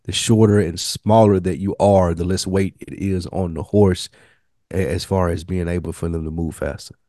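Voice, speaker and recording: A man co-hosting a podcast, calmly explaining things in a casual chat about a TV show. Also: deep voice, moderate pace, no echo, studio quality. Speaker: podcast co-host.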